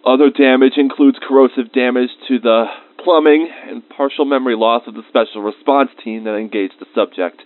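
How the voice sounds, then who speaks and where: A man's voice: mid-range voice, fairly even intonation, brisk, few pauses, natural voice. Man playing anxious scientist on thin, phone-like recording. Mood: weary, subdued. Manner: confiding, dictated log entries with emotional asides. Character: anxious male research scientist recording lab logs, increasingly shaken. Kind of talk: dramatized audio diary. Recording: phone-like thin band-limited sound.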